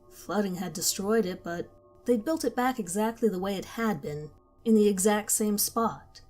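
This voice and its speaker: A woman's voice: mid-range voice, darkish tone. Woman narrating a horror story. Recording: faint background music.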